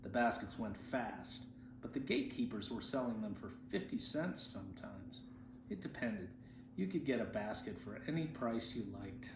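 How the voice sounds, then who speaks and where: A man reading the words of a chatty, humble monk in his seventies, plain-spoken and gently amused, for a story. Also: lowish voice, very animated, normal speed. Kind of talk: storybook reading of quoted speech. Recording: roomy echo, faint background noise.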